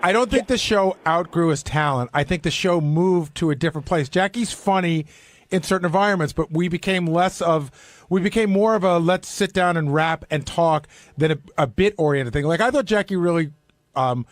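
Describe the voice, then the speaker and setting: A man's voice: a little high, normal speed, no room sound. A man in a casual panel discussion as a co-host on talk radio. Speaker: radio show co-host and producer.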